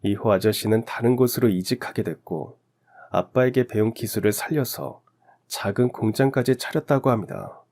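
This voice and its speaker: Radio story narrator: male, lowish pitch, scripted first-person storytelling. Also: expressive, normal speed, darkish tone.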